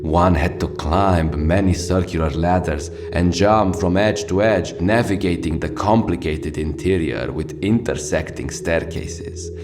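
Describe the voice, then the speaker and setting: Man playing a deep-voiced, jaded radio host. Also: measured pace, few pauses, a little mellow. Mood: solemn, lyrical. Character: sardonic, jaded male 1968 city radio host. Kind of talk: scripted radio monologue, poetic narration. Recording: music behind the voice. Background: music.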